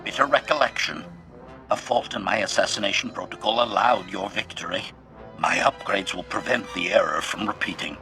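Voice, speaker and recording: A sadistic assassin droid from a sci-fi game. The voice is very sharp and metallic with a robotic filter, clipped and clinical, politely savoring its threats over faint music. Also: male voice, lowish voice, normal speed. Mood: cold relish. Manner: clipped, clinical, formal menace. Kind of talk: video game voice acting.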